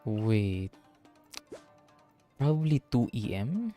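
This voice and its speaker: A man's voice: lowish voice, very animated, extremely slow, warm tone, soft background music. A man doing casual live game commentary, musing aloud as he plays. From Philippines. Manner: muses aloud, mildly swearing. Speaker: VTuber game streamer.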